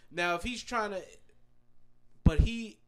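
A man's voice: sits high, slow, clean tone. A man, talking through an opinion with many restarts in a casual podcast chat. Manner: hesitant, restarts while reasoning. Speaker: podcast co-host.

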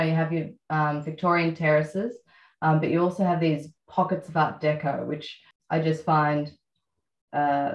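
A low-pitched Australian woman in her thirties recalls things in a reflective, meandering way, pausing often on fillers. Her voice sits in an echoey space and sounds slightly muffled. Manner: reflective, many fillers and pauses. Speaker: guest writer. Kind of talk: relaxed interview conversation.

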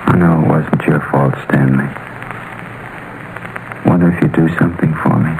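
Grieving dad for radio drama: rock-bottom pitch, monotone, stern restraint, hissy old recording. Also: male voice, moderate pace, creaky. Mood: grave, restrained. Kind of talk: terse 1950s radio police drama acting.

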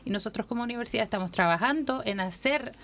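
A woman's voice: on the high side, animated, a bit bright, slight background noise. Woman from Honduras explaining admissions. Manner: measured, explains step by step with frequent fillers and restarts. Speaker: university admissions coordinator. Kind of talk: radio interview, explanatory. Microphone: radio studio microphone.

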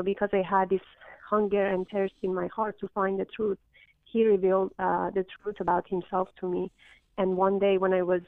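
A woman from Iran with a Persian accent tells her story earnestly, stopping often on fillers. Her voice is gravelly and stays level in pitch. It comes through a tinny, phone-like channel with a dry sound. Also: no room sound. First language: Farsi. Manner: earnest, with many fillers and restarts. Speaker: guest. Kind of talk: interview, personal testimony.

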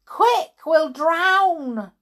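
A woman's voice: extremely high pitch, expressive, drawn-out words, a bit bright, pitched above the performer's own voice, full character voice. A woman voicing a bold, earnest village boy in a children's story, exclamatory and easily riled. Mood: panicked. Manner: exclamatory, earnest, old-fashioned British children's phrasing.

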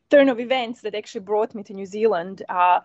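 A woman in her thirties from Croatia with a Croatian accent, a winemaker chatting in an interview, eager and halting with many ums. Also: medium pitch, a little mellow, no echo. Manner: hesitant, many ums, enthusiastic. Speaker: winemaker guest. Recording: dull, muffled sound.

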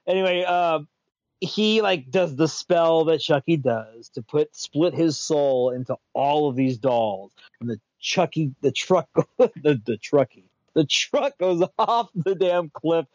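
Male host, a touch high-pitched, loose rambling chat with restarts, muffled dry recording. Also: no room sound. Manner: rambling, repeats and restarts words, chuckles often. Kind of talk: casual pop-culture chat. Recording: dull lo-fi dry sound.

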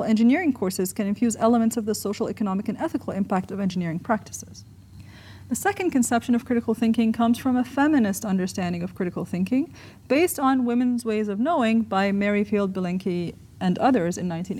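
A woman from Egypt reads her own academic essay aloud in a measured, articulate way. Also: a little high, dark tone. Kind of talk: scripted essay narration, read aloud.

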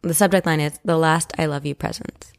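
Low-pitched woman co-hosting a podcast. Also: fairly even intonation, fairly quick. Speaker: podcast co-host. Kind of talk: casual chat, reading listener stories aloud.

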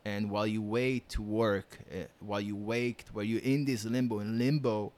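A man's voice: fairly low pitch, steady tone, normal speed, darkish tone. A man from Israel, speaking earnestly as a podcast guest, repeating phrases for emphasis. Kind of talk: casual chat.

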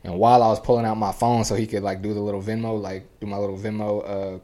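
A man co-hosting a casual podcast, telling rambling stories quickly with lots of fillers. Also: lowish voice, little pitch movement, dark tone. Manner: fast, rambling storytelling with many fillers. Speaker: podcast co-host. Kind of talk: casual chat. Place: home podcast studio.

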